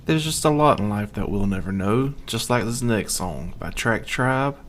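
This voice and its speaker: Lower-voiced man in casual banter. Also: expressive, normal speed, a bit bright, slight background noise. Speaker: talk show co-host.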